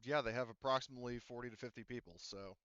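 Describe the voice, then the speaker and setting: A man's voice: mid-range voice, steady tone, fast talker, fairly bright tone, clean tone, dry recording, dull audio. A man running a tabletop roleplaying game, rambling along with lots of fillers and restarts. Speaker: game master.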